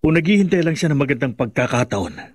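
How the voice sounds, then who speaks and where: Quick, cautioning male ally. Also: a little high, sing-song. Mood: wary warning. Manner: earnest, warning. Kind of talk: radio drama acting.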